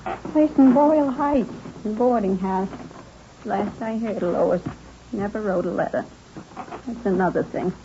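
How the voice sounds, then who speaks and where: A woman's voice: medium pitch, unhurried, pauses often, lightly acted. An actress playing a weary, bitter middle-aged working-class mother in a 1950s radio drama, resigned and plain-spoken. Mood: bitter, ashamed. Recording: muffled, noisy 1950s radio recording.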